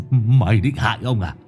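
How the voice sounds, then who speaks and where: A man voicing an unhinged, murderous middle-aged husband who snarls, mutters and shouts. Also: on the low side.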